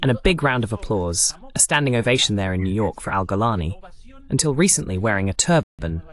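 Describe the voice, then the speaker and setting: A man's voice: fairly low pitch, lively intonation, brisk, a bit bright. A man voicing a political talk show host in translation, presenting the discussion.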